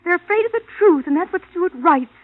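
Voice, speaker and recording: A woman's voice: extremely high pitch, expressive, quick pace. A woman with an American accent playing a naively devoted, defensive wife in a vintage radio drama, earnest and emotional. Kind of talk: 1950s radio drama acting. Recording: dull, lo-fi old radio recording.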